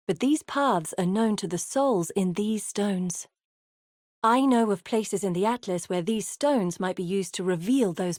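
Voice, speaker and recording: An actress voicing an earnest, guilt-haunted woman explorer and guide in a fantasy video game, speaking in a grave, measured, confiding way. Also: mid-range voice, a little mellow, no echo, clean studio sound, natural voice. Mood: resolute. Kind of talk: scripted dramatic game dialogue.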